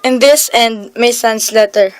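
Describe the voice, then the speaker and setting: A woman's voice: fairly high pitch, unhurried, no room sound, close to an everyday voice. A woman playing a bereaved but resolute mother, narrating in a scripted radio drama. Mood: sorrowful, resolute. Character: bereaved mother mourning her son, resolute. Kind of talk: scripted first-person radio drama monologue.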